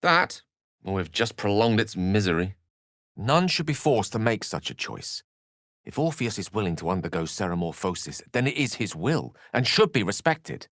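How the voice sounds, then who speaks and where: An actor playing an eloquent, courteous and wry wizard in a fantasy video game, with a British accent and articulate, theatrical phrasing. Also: male voice, lowish voice, very animated, moderate pace, fairly bright tone, dry recording, clean studio sound. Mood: earnest, troubled. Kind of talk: dramatic fantasy game dialogue.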